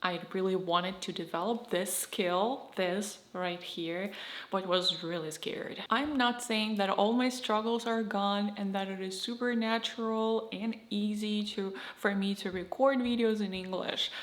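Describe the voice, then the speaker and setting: A woman with a Russian accent talks to camera in a candid, reflective vlog monologue. Her voice sits at an ordinary middle pitch. Her phrasing comes in slightly choppy pieces, and the room gives it a noticeable echo. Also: steady tone, unhurried. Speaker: YouTuber. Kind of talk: scripted personal vlog monologue. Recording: roomy echo.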